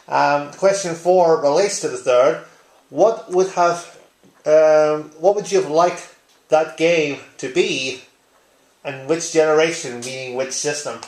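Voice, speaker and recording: A man's voice: a little high, reverberant. A man chatting informally and bluntly, giving his answers in a casual vlog style. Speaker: video blogger. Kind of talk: casual tag-response vlog chat. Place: living room, indoors.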